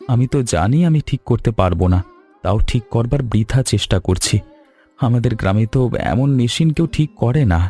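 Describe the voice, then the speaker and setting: A man's voice: mid-range voice, expressive, normal speed, warm tone, soft background music, close to an everyday voice. A man playing an anxious, humble village weaver in a cartoon story, with a plaintive, earnest delivery. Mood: downcast.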